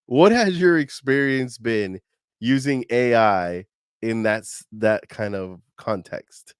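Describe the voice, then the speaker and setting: A man's voice: mid-range voice, takes it slowly, clean tone, no echo, clean studio sound. A man co-hosting a podcast, talking casually and animatedly with slang and fillers. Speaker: podcast co-host.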